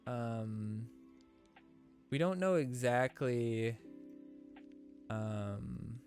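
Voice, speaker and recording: Man, stuffy nose, casual streamer chat, middle-pitched, filler-heavy rambling, faint guitar music behind. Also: lively intonation, drawn-out words, a little mellow. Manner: rambling, lots of fillers and restarts. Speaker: gaming streamer host. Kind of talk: casual live-stream commentary.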